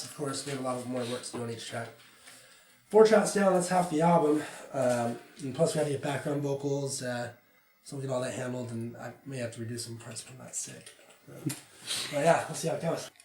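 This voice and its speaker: A young man and recording artist talking casually about his album in a studio, relaxed and reflective, with lots of fillers. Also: medium pitch, normal speed, slight background noise. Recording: echoey studio, mic a bit distant.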